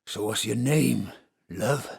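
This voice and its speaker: A man's voice: mid-range voice, slow, vocal fry, slightly rough, full character voice. A man playing a leering, drunken lecher in an audio drama, with a boisterous, lewd crooning drawl.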